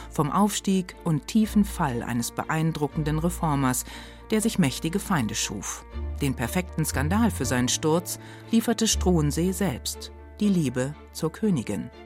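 A woman hosting radio with a scripted presentation, speaking pointedly with deliberate dramatic pauses. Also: deep voice, darkish tone. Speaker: radio host. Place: radio studio. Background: music.

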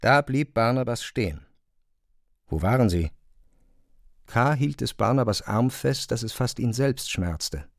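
A man narrating a literary radio play from a script in a measured storytelling manner. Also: medium pitch, lively intonation, gravelly, no room sound, clean studio sound. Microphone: close studio microphone.

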